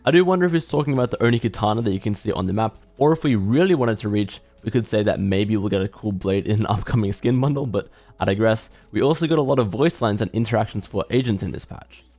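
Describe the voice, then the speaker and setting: Fast-talking low-voiced man over music. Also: a little mellow. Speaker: gaming lore commentator. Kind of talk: scripted video commentary.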